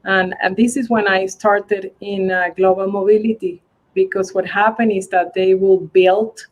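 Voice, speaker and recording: A woman from Venezuela, answering interview questions over a video call, halting with many fillers. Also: medium pitch, fairly even intonation, muffled recording. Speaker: guest, global mobility manager.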